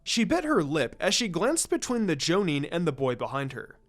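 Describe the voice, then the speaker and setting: A man narrates fiction aloud in an expressive storytelling style. His voice sits a little high for a man and moves with animated intonation. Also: normal speed, faint music behind. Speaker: story reader.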